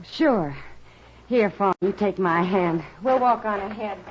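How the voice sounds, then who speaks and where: A woman's voice: mid-range voice, slow pace, natural voice. An actress playing a kindly, no-nonsense frontier town woman in a 1950s radio western. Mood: friendly, practical. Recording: dull vintage radio recording, faint hiss.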